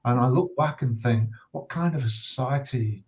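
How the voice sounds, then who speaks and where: A middle-aged or older British man speaking reflectively in conversation as a guest, earnest and measured, with hesitant pauses. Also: mid-range voice, a little mellow. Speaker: interview guest, bereaved father and campaigner.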